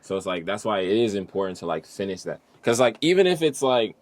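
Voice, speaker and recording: A low-pitched male host talks casually with his guests, easygoing and conversational. He keeps looping back with a relaxed check-in phrase as he makes his point. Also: fast talker. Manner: easygoing, repeats "you know what I'm saying". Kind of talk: casual podcast chat.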